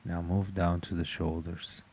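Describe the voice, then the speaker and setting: Very deep-voiced man guiding meditation. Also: a little hiss or hum. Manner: calm, measured, instructional. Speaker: meditation guide. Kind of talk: guided meditation.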